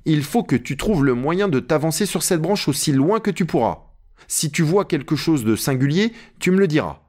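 An excitable, bossy gentleman treasure hunter from a storybook, acted out by a male reader. He calls out orders impatiently in a raised, swooping voice, agitated and imperious. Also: on the high side, normal speed, voice pushed up. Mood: agitated, commanding.

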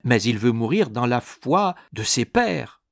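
A man voicing a stern Protestant minister in a storybook reading, solemn, preachy and insistent, quick to indignation. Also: mid-range voice, big pitch swings, vocal fry, no echo, clean studio sound.

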